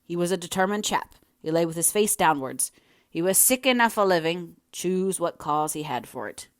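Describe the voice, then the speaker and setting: A woman's voice: fairly low pitch, animated, moderate pace, dry recording, clean studio sound, close to an everyday voice. A woman voicing a blunt, practical working man who speaks emphatic northern dialect, in a storybook reading. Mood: matter-of-fact.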